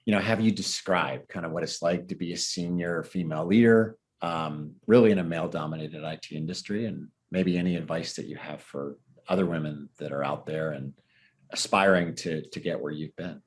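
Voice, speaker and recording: A man interviewing a guest, polite and measured. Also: deep voice. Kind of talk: interview question.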